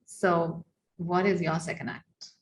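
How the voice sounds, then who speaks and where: A woman with a lowish voice hosts a podcast over a video call from a small room, with faint noise behind her. She asks gentle questions and keeps her replies brief and encouraging. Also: level tone, slow pace, low-quality recording. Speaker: podcast host.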